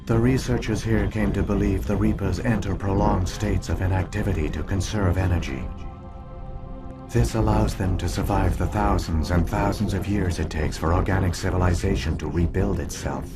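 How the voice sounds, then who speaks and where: Game role: male actor as calm, grave computer guardian of a lost alien race. Very deep, formal delivery over prominent music. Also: close to an everyday voice. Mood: solemn, calm. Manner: measured, formal, grave exposition. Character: ancient damaged alien computer program, calm, solemn guardian. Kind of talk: scripted video game dialogue.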